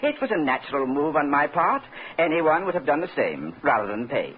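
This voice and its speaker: A courteous, fussy swindler from a radio play. He talks in ornate, rueful turns with a raised, very animated pitch. The sound is a tinny, scratchy old broadcast. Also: a little fast, husky. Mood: smooth, fussy. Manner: polite, loquacious, mock-rueful. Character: genteel, fussy swindler with an artist's soul. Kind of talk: 1940s-50s radio drama acting. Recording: scratchy, thin old-time radio recording.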